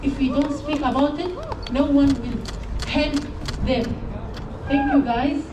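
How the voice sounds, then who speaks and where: Slow, halting woman from Syria. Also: high voice. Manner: halting, earnest. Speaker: protest speaker. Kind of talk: rally speech. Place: outdoor street protest. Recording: echoey and distant with faint noise.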